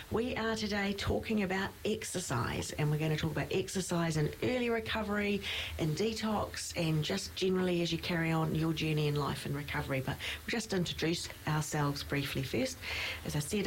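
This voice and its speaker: A woman's voice: mid-range voice, slight background noise, rough recording. A middle-aged woman who is a registered nurse, co-hosting a podcast and explaining things in a casual chat that often breaks into laughter.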